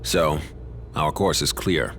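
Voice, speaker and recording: A middle-aged man playing a grave, calculating leader who speaks deliberately and with authority. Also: a bass voice, fairly bright tone, a little hiss or hum, heavily acted. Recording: game music faint behind.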